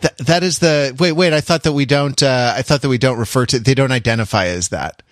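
Male podcast host, slightly low voice, dry close sound; mock-earnest, wordy, stumbles into restarts, playful panel banter. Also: a little fast, no room sound. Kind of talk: casual comedic panel chat.

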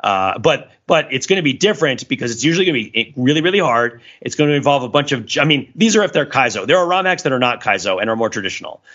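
A man's voice: mid-range voice, lively intonation, quick pace, few pauses, fairly bright tone, muffled recording. A man co-hosting a podcast, explaining things in a casual, discursive way and often checking in as he goes. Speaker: podcast co-host.

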